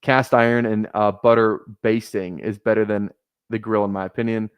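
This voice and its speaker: A man's voice: fairly low pitch, level tone, normal speed, smooth, dry recording, clean studio sound. A man in his twenties chatting casually on a fantasy football livestream, rambling, swearing often and laughing at himself. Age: 20s. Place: small apartment room.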